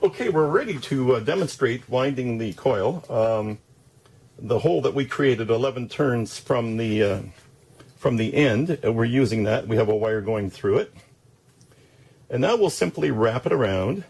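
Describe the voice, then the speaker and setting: A man giving a casual, halting, step-by-step demonstration of a hands-on job. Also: medium pitch, expressive, a little mellow, breathy edge. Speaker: DIY demonstrator.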